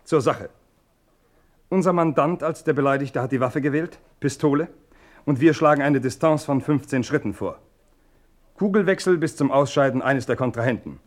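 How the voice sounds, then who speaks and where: Actor as a brusque, officious duelling second. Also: male voice, medium pitch, natural voice. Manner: curt, stiff, businesslike. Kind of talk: German radio play acting.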